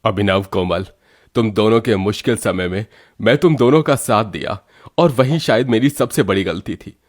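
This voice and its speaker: A man's voice: fairly low pitch, moderate pace, dry recording, clean studio sound, lightly acted. A man as a stern elder brother, dryly recorded. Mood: stern, angry. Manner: stern, emphatic. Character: stern, credulous elder brother and protective husband. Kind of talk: Hindi cartoon story acting.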